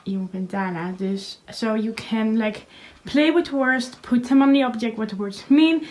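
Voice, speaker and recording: A woman's voice: fairly high pitch, moderate pace, a little mellow. A young woman, a Dutch native speaker, casually sharing study tips in a home vlog with lots of fillers. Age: young adult. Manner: chatty, many fillers. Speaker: student vlogger. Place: small room at home. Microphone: phone camera.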